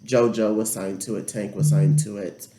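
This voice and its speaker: A man's voice: fairly low pitch, flat delivery, normal speed, dark tone, soft background music. A man co-hosting a casual podcast chat, blunt and opinionated. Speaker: podcast co-host. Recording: echoey room.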